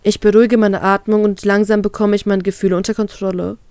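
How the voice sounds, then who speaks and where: A woman's voice: mid-range voice, fast talker, no echo. Woman reading fan fiction aloud. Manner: steady plain read-aloud narration.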